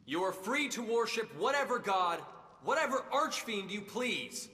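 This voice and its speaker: A man's voice: high-pitched, lively intonation, unhurried, pushed and bright, full character voice. A man playing a hot-blooded, earnest young adventurer hero in a video game, speaking emphatically. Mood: resolute. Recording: reverberant hall with game music.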